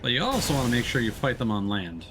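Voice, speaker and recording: A man's voice: mid-range voice, very animated, quick pace, noisy background. A man giving casual let's play commentary as a streamer, explaining and thinking aloud as he plays. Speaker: streamer playing a video game.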